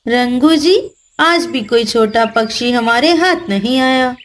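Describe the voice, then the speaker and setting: A woman's voice: on the high side, slow pace. A woman voicing a scheming, falsely sweet female crow in a children's cartoon, with a coaxing manner. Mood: disappointed. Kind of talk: Hindi children's cartoon voice acting.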